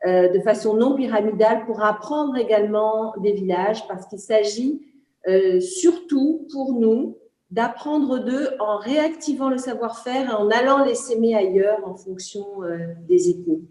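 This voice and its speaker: A woman from Senegal speaks earnestly, often pausing to search for her words. Her voice is warm and mellow. The room around her gives a roomy echo. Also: fairly high pitch. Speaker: guest, trainer. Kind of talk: spoken testimony.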